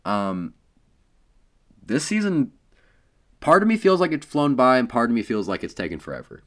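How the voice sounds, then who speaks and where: A man with a hoarse voice from being sick, co-hosting a casual sports podcast and playfully bragging that he is always right. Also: mid-range voice, very animated, moderate pace. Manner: playful, boastful teasing with frequent ums. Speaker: podcast co-host.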